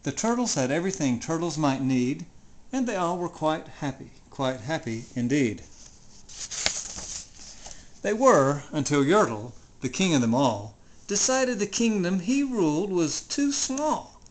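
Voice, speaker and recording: A storyteller giving an expressive, dramatic reading of a rhyming children's story. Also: medium pitch, unhurried, rough recording. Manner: theatrical, expressive.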